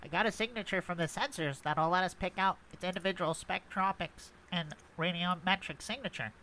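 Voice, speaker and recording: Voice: mid-range voice, a little fast, sharp tone, natural voice, poor audio. An actor playing a precise, literal-minded droid co-pilot in a script table read, with formal, technical phrasing. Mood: matter-of-fact.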